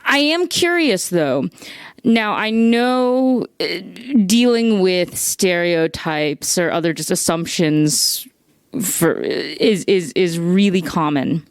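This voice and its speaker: A woman's voice: on the low side, expressive, slow pace, clear, resonant tone, no echo, studio quality. A woman hosting a podcast, asking long, winding questions in a curious, conversational way. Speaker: podcast host.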